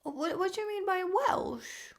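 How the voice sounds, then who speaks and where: Casting: chatty woman host, high voice with gravelly fry, bemused and affectionate. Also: animated, measured pace. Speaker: English teacher hosting the chat. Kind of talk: casual chat.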